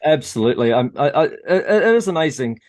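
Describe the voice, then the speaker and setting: A man's voice: on the high side, very animated, brisk. Man explaining points step by step. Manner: methodical, lists points and checks in with "okay?" and "right?". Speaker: interview host. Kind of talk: explaining in an interview.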